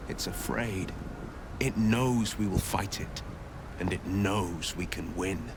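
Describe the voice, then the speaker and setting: Low, slow, resolute hero. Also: male voice, noisy background. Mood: grim, resolute. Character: grave, resolute fantasy hero facing battle. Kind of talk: dramatic fantasy game acting.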